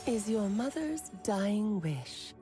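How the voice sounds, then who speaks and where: A woman's voice: medium pitch, very animated, over music. A woman voicing a playful, grand, teasingly evasive mage aunt in an anime-style video game. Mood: storytelling. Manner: theatrical, teasing, drawn-out emphasis.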